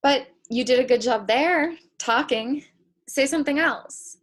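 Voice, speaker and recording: Actress as candid young woman, somewhat high voice, guarded, frank, probing, naturalistic play reading. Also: a little slow. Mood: probing, encouraging. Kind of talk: play table read, naturalistic dialogue.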